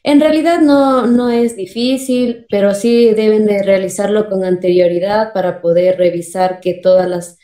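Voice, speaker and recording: A woman's voice: mid-range voice, moderate pace, hardly any pauses, dark tone. A young woman with an Ecuadorian accent, explaining things as a guest in a video-call interview. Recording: roomy, echoing video call.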